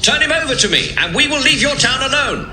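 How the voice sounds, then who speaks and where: An actor playing a firm, commanding soldier of ancient Israel, speaking emphatically in a dramatised audio Bible. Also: male voice, very high voice, sing-song, fast, noisy background. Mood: firm, reassuring. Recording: echoey, music bed behind.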